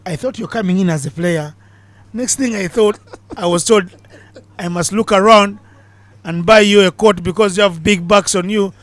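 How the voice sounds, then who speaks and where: A man commentating on cricket live from a commentary box, bantering and joking between balls and getting excited on big hits. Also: high-pitched, no room sound. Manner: chatty, jokey banter with big excited calls.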